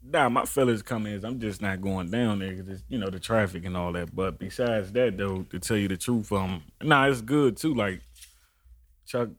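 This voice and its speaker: A man with a fairly low voice chats as a recurring podcast guest. His talk is informal and slangy. The sound is dry, with very little room on it. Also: normal speed, no echo. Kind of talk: casual chat.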